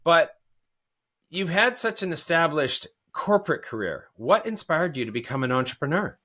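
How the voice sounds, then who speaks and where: Bright, rambling male podcast host. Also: on the high side, very animated, normal speed. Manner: rambling, digressive asides. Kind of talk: casual interview hosting.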